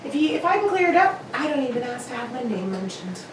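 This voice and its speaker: Woman doing shrewd formal gentleman detective, radio play, kinda high voice, noisy recording. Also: very animated, quick pace. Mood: brisk, thoughtful. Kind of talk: amateur radio-play acting. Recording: roomy, distant, noisy live recording.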